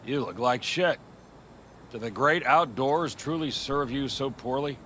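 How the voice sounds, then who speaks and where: An actor playing an older nomad clan leader in a video game, blunt and proud, with a folksy, speechifying manner. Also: male voice, medium pitch, unhurried, bright, forceful tone, slight background noise. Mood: teasing, blunt.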